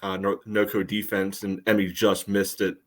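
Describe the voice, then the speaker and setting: A man co-hosting a sports podcast, giving casual commentary in a halting, filler-heavy way. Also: lowish voice, monotone. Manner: halting, peppered with "you know". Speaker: podcast co-host.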